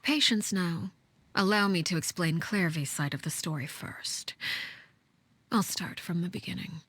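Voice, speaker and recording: An actress playing a cold, menacing woman leader in a video game, speaking in a measured, formal, commanding way with a breathy hush. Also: lowish voice, slight background noise. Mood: grave, detached. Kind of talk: scripted video game voice acting.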